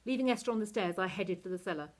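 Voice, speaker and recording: A woman's voice: mid-range voice, racing, poor audio. Woman reading a storybook aloud expressively. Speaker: teacher reading a novel aloud.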